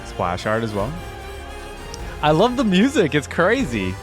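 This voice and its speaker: A man's voice: a little high, huge pitch swings, measured pace, over music. A man giving enthusiastic, informal reaction commentary as the host of a fan channel.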